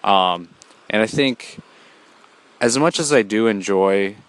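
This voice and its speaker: A man's voice: on the low side, takes it slowly, a bit bright, clean tone, rough recording. A young man hosting a solo podcast, talking in a rambling casual way with many fillers and restarts, a bit congested from a cold. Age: young adult. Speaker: podcast host.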